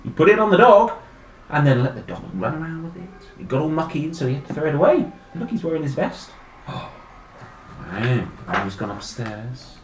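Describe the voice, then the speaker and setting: A man reading a children's story expressively, with chatty asides and dramatic gasps and pauses. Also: fairly high pitch, very animated, reverberant, slight background noise, poor audio. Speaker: children's book reader. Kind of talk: animated picture-book storytelling with chatty asides.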